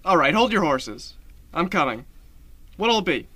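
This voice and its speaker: Young man as a high-voiced, brusque noir bit player. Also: sing-song, unhurried, sharp tone, slightly rough, dry recording, full character voice. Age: young adult. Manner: curt, dismissive. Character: brusque, dismissive man, haughty boss or busy bartender. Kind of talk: 1930s noir radio drama acting.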